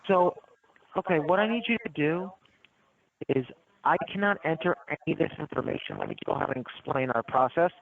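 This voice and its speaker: A man working as a phone tech support salesman, running a smooth, persistent sales pitch and repeating his selling points over and over. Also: fairly quick. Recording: tinny phone line.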